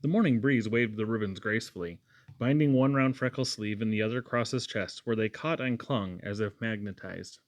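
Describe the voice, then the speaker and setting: A man's voice: on the low side, a little fast, dry recording. A man reading a novel aloud as a storybook narrator. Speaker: audiobook reader.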